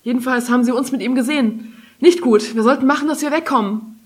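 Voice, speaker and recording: Casting: wary female fantasy companion read by a woman narrator, practical, clipped, urgent, pitched up from narration. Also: expressive, fairly quick.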